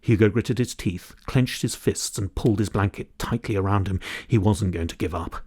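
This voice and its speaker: A man's voice: on the low side, moderate pace, darkish tone, slightly rough, no room sound, clean studio sound. A middle-aged man, narrating a children's audiobook expressively. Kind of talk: expressive children's story reading.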